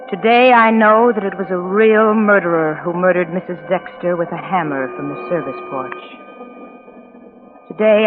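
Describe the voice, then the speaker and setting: A woman's voice: on the low side, close to an everyday voice. An actress playing an anxious, horrified woman friend, earnest and haunted, in 1940s radio drama style. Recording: narrow old radio recording with music.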